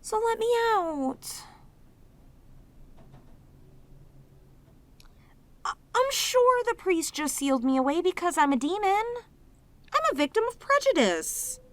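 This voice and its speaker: A woman voicing a lonely, clingy, sweet female demon in an ASMR audio roleplay, with an earnest, halting, pleading manner. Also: very high voice, sing-song, no echo. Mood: pleading, indignant.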